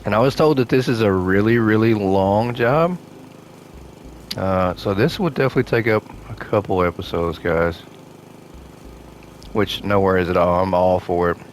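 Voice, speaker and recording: A man giving casual gameplay commentary, thinking aloud to himself as he plays, his voice slightly hoarse. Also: fairly low pitch, normal speed, pauses often, slight background noise. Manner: relaxed thinking aloud, muttering to himself while playing. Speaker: gaming host playing a renovation game.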